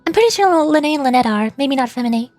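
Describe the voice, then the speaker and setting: A woman with a high voice chats casually on a game livestream. She muses and questions herself aloud as she goes, with music playing faintly behind her. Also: animated, quick pace, a little mellow, dry recording. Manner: musing, often questioning aloud. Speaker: VTuber game streamer.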